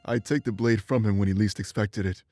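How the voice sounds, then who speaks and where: Fantasy visual novel role for a male actor: former inquisitor, lowish voice, calm, contrite, measured. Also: no room sound, natural voice. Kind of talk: visual novel character voice acting.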